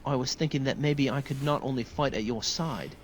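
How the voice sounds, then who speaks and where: An actor playing an earnest, courteous young knight in a fantasy video game, speaking formally and sincerely. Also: male voice, medium pitch, fairly quick, slight background noise, lightly acted. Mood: earnest, hopeful. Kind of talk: video game dialogue acting.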